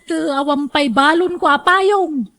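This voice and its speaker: A woman's voice: high voice, measured pace, a bit bright. A woman with an Ilocano accent playing a chummy middle-aged female friend in a radio drama.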